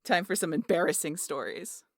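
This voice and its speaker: A woman acts out a big, brash aunt in an audio drama. Her voice sits on the low side in a dry, close sound. She teases and spins tales with foul-mouthed swagger. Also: normal speed, no room sound. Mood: playful, brash. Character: brash, teasing, tall muscular aunt, adult woman. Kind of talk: audio roleplay drama acting.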